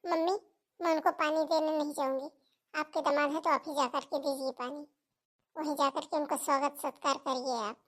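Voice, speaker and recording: A woman's voice: high voice, fairly even intonation, moderate pace, lightly acted. A woman voicing a stubborn, complaining young married daughter in a cartoon family drama, with an aggrieved manner. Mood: defiant.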